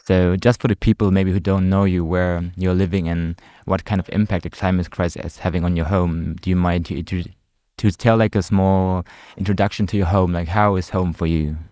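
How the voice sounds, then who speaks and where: A man hosting an interview, asking questions in a halting way, often repeating words. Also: low-pitched, darkish tone, no room sound, studio quality. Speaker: podcast host. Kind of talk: casual interview.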